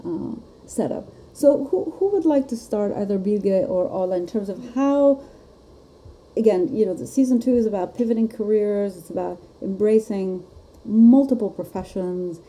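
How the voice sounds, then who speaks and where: A woman's voice: medium pitch, moderate pace, mellow, poor audio. A woman hosting a live podcast chat, talking casually and laughing often. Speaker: podcast host.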